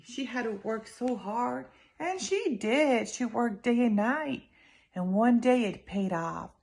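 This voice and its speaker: A woman reading a children's story aloud in an expressive, emphatic way, speaking directly to her young listeners. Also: medium pitch.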